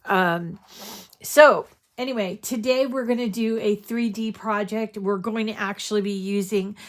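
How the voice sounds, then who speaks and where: A woman's voice: mid-range voice, steady tone, a little slow. A woman hosting a crafting tutorial, talking in a chatty, rambling way while stuffed up with a cold. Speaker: crafting show host.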